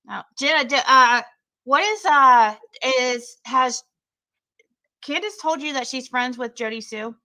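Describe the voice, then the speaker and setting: A woman hosts a livestream, halting and stumbling through fillers as she reads the chat. Her voice is bright and clear. She sounds casual and a little scattered. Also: sits high. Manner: hesitant, filler-heavy, reads chat while talking. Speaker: livestream host.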